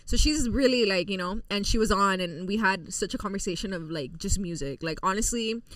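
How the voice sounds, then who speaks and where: Animated young woman, bright voice. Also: medium pitch, fairly quick, no room sound, recorded from a distance, poor audio. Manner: animated storyteller, acts out quotes, heavy Spanglish. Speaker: podcast host, guest. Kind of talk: casual chat.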